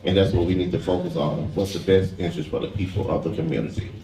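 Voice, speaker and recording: A man's voice: deep voice, fairly even intonation, fast, noisy background. A man speaking as a candidate at a forum, plainspoken and defiant. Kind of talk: forum answer. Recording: echoey hall from far off with crowd.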